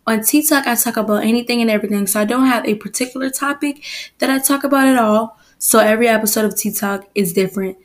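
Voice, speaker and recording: A young woman hosting her own casual solo podcast, a little hoarse from allergies, chatty and prone to rambling asides. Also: a little high, quick pace. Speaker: podcast host.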